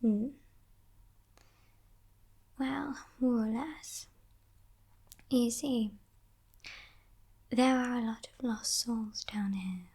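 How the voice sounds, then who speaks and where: A woman playing a sly, haughty female demon kidnapper in a whispered ASMR roleplay, breathy, teasing and condescending, coaxing with soft menace. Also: fairly high pitch, fairly even intonation, exaggerated voice. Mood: coaxing, smug. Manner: slow, teasing, condescending, softly threatening.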